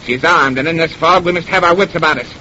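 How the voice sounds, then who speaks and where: An actor playing a sly, mocking, commanding pirate captain in a theatrical old radio drama style, silky and sardonic. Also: male voice, a little high, quick pace, bright, forceful tone. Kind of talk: old-time radio horror drama acting. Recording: muffled, noisy old radio recording.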